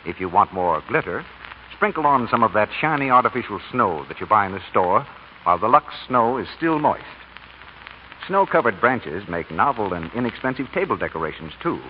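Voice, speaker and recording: A man's voice: on the low side, sing-song, normal speed. A man reading a scripted 1940s radio commercial as the announcer. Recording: muffled old radio, faint noise.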